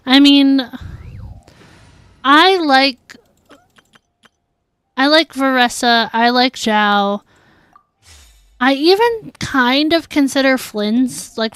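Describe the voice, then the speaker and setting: Higher-voiced woman chatting on a game stream. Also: a bit bright, smooth, dry recording. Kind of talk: casual livestream chat while gaming.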